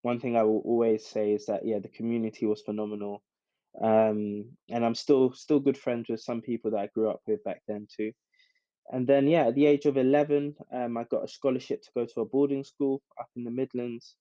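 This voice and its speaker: Casting: dry, slightly muffled recording of a male entrepreneur guest, London accent, mellow voice, conversational. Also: mid-range voice, level tone, fairly quick, no echo. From South London, England. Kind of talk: casual interview answers. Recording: dull, dry recording with music.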